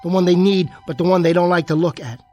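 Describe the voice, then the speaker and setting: Casting: male actor as undersized American jockey, high voice, slangy, defiant and boastful, radio drama with music behind. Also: steady tone, fast talker, darkish tone, close to an everyday voice. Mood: bitter, wounded. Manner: streetwise slangy tough talk, defiant. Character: bitter, boastful small man, a disgraced jockey.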